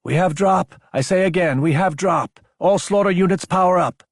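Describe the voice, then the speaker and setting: A man's voice: sits high, moderate pace, no echo, studio quality, voice pushed up. A man voicing a female military dispatcher who gives alert, businesslike, emphatic radio announcements in an audiobook. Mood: urgent, alert.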